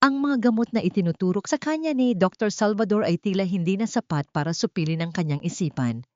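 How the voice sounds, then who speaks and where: A woman's voice: medium pitch, lively intonation, fairly quick, mellow, no echo, highs cut off. A woman narrates a scripted dramatic story in a solemn, theatrical manner. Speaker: audiobook narrator.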